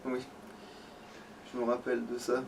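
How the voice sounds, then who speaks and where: A man giving casual gaming commentary as a let's play host, swearing freely and hesitantly thinking out loud. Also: medium pitch, monotone, moderate pace, recorded from a distance, a little hiss or hum, rough recording.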